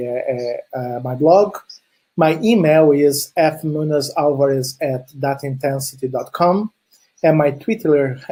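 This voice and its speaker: A man's voice: medium pitch, mellow, husky, rough recording. A middle-aged man from Chile whose first language is Portuguese, speaking with a Brazilian Portuguese accent. He gives a friendly conference presentation with many filler sounds and restarts. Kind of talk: presentation talk.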